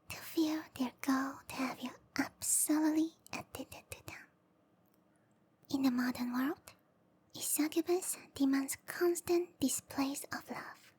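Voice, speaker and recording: High, whispering seductive matchmaker played by a woman. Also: darkish tone. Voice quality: breathy whisper. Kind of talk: whispered ASMR roleplay.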